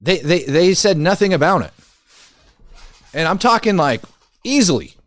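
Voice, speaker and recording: A man's voice: sits high, very animated, normal speed, fairly bright tone, no room sound, studio quality. A man hosting a commentary show, talking casually and conversationally with incredulous emphasis and repeated phrases.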